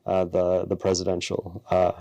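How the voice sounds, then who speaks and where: A man in his forties speaks with a London accent in a low voice. His delivery stays flat and steady as he answers interview questions in a measured, earnest way. He sounds like an arts executive talking about his work. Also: vocal fry. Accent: London English. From born in Argentina, raised in London. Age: 40s. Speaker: orchestra president, guest. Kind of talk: interview conversation.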